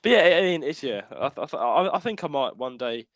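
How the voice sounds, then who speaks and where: A man co-hosting a casual podcast, stammering and restarting often, with a sore throat he keeps clearing. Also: medium pitch, big pitch swings, brisk, fairly bright tone, no room sound. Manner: stammering, restarts often, laughs while talking. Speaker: podcast co-host. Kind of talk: casual chat. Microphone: podcast microphone.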